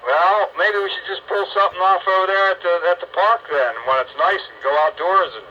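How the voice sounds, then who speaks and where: A casual American man on CB radio with a very sharp, piercing tone and a higher pitch. The signal is dull with a little noise. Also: a little fast. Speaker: CB radio operator. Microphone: CB radio microphone. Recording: dull, faintly noisy CB radio transmission.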